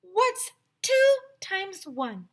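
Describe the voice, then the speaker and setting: A woman's voice: very high voice, sing-song, extremely slow, voice pushed up, heavily acted. A woman voicing a cheerful, playful clown lady substitute teacher in a children's storybook, with an exclaiming delivery. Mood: cheery, playful.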